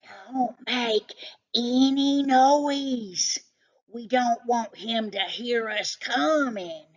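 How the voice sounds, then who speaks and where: A woman voicing an excitable, suspicious male house cat in a storybook, talking in an emphatic, condescending know-it-all way. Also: a little high, very slow, muffled recording, voice pushed up. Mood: hushed, cautioning.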